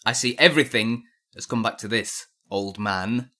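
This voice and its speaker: A man's voice: lowish voice, normal speed, sharp tone. A man playing a defiant, zealous nineteen-year-old blacksmith's apprentice in an audio drama, answering an interrogation in a terse, bitterly sardonic manner. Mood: angry.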